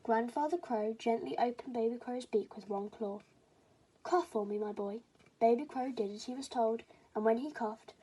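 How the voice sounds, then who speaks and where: A woman's voice: fairly high pitch, dark tone, slightly rough, low-quality recording. A woman reading a children's story aloud as scripted storybook narration.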